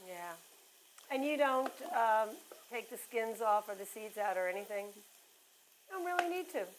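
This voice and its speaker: A woman's voice: a little high, animated, moderate pace, a little hiss or hum, rough recording. A woman hosting a casual cooking show, chatty, asking many questions and telling stories. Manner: chatty, asks many questions, shares anecdotes. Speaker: talk show host.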